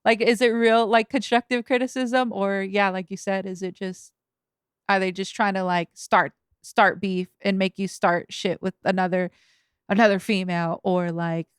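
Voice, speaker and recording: A woman's voice: mid-range voice, level tone, normal speed, clear, resonant tone, no room sound, studio quality. A woman from Los Angeles, a rapper guest on a podcast, chatting casually with lots of fillers, restarts and laughs. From Los Angeles, USA. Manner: rambling, filler-heavy, laughs often.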